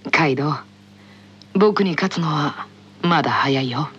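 A woman voicing a gentle, unflappable and teasing senior teenage boy tennis player in an anime radio drama, softly and calmly. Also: deep voice, a little slow, muffled recording, pitched below the performer's own voice. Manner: soft, calm, playfully superior.

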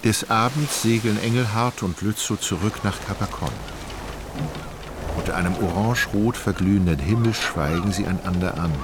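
A man's voice: fairly low pitch, moderate pace, very noisy. A man reading scripted literary narration as the narrator of a radio drama.

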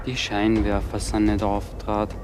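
Male actor as curious security man, lowish and monotone, unhurried questioning, radio drama small talk. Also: over loud music, close to an everyday voice. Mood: mildly curious.

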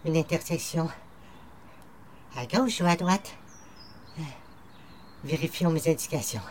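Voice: medium pitch, animated, slow pace, slight background noise. An actor playing a hapless, weary churchgoer who speaks in a formal, plaintive, theatrical way in a radio comedy. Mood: flustered, complaining. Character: hapless, weary, pious churchgoer with formal old-fashioned speech. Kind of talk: old-time radio comedy acting.

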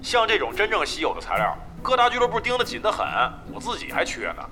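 An actor playing a brash, crafty veteran gamer who grumbles and swears in a Chinese radio drama. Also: male voice, high voice, huge pitch swings, fast talker, pushed and bright, a little hiss or hum, full character voice. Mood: brusque, grumbling. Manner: coarse, swearing, blustery.

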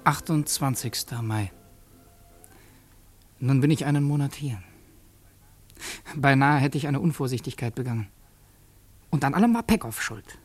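An actor playing a polite, calculating young revolutionary in a radio drama, speaking with controlled deliberation and a breathy, husky voice. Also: male voice, mid-range voice, expressive, normal speed, long pauses, faint music behind, lightly acted. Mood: brooding, calculating. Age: young adult. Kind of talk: radio drama acting with confessional narration.

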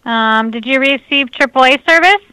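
A woman's voice: high-pitched, normal speed, bright, forceful tone, no room sound, poor audio. A woman answering calls for a tow company, polite and patient.

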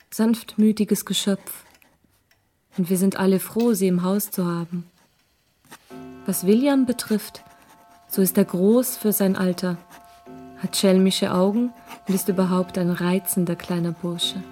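An actress playing a tender, worried young cousin, reading out her letter gently and affectionately in a German radio drama. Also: mid-range voice, natural voice. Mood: fond.

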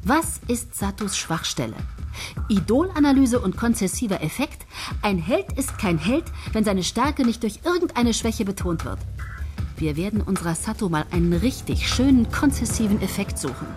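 An actress playing a commanding woman head writer who runs a meeting, brisk and bossy. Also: medium pitch, sing-song, a little mellow, lightly acted. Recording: studio radio play over music.

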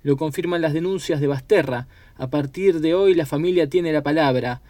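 Man narrating in a higher-pitched voice. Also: normal speed, darkish tone, dry recording. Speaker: documentary narrator. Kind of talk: scripted narration, reading press reports aloud.

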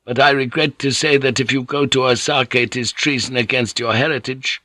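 A man voicing a calm, respectful old councillor in an audiobook, polite and regretful. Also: mid-range voice, very slow, no room sound, voice pushed up. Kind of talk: dramatic audiobook character reading.

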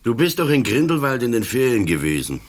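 An older actor with a Swiss German accent playing a calm, stubborn, ailing police commissioner in a radio play, speaking cold and firm. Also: male voice, mid-range voice, brisk. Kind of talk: 1980s radio play acting.

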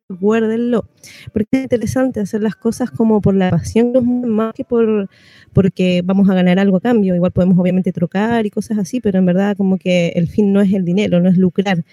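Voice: medium pitch, mellow, no echo. A radio host with a Chilean accent, chatting informally in a casual community radio interview.

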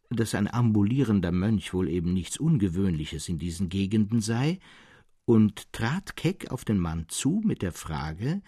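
A man narrates literary fiction in a lowish, husky voice. His reading is scripted, measured and dramatic. The recording is dry and close, without room sound. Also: mellow, dry recording. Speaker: narrator, reader.

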